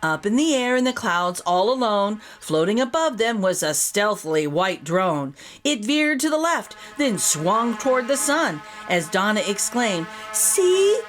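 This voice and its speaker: A woman reading a rhyming children's story aloud as an expressive storyteller. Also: medium pitch, measured pace, hardly any pauses. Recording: voice over background music. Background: music.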